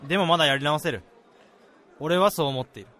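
A man acting a gruff but caring senior salesman in a radio drama, speaking bluntly and casually. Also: a little high, fast talker, a bit bright, close to an everyday voice. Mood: firm, impassioned. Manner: blunt, casual, firmly advising. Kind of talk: Japanese radio drama dialogue and narration.

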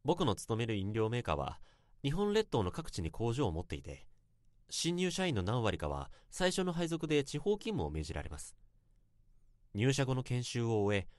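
A man's voice: medium pitch, darkish tone, no room sound, clean studio sound, close to an everyday voice. An actor playing an earnest salaryman in his thirties, telling his story politely and reflectively in a Japanese radio drama, in a literary style. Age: 30s. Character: earnest 32-year-old salaryman confessing a bittersweet youthful love.

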